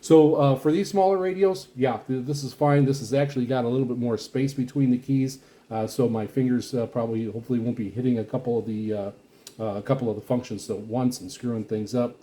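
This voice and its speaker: A man giving a casual, rambling product walkthrough as a reviewer, speaking in a halting, explanatory way. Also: medium pitch, steady tone, dark tone. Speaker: radio reviewer and vlogger.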